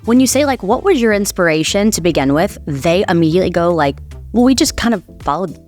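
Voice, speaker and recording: A woman's voice: fairly low pitch, lively intonation, a little fast, a little mellow. Woman host, casual interview, upbeat and gushing, light music under her. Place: tasting room at a winery.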